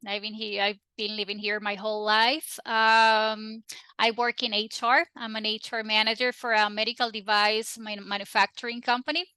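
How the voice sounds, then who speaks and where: A woman from Rica, a Spanish speaker, chatting as a guest in an interview with lively restarts and laughter. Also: on the high side, moderate pace, smooth, no echo. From Costa Rica. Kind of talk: casual interview chat.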